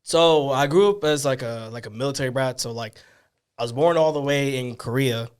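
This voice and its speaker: Young male podcast guest, middle pitch, loose and slangy storyteller. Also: normal speed, smooth. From Hawaii and the Bay Area. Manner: laid-back slangy storytelling. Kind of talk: casual podcast chat.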